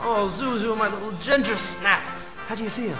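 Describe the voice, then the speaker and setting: A desperate family man pleads and shouts in a very high voice, stammering over his words, as a man plays him. The recording is echoey and has music playing behind it. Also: sing-song, moderate pace, exaggerated voice. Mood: overjoyed. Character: frantic, desperate family man, then overjoyed. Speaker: lead actor.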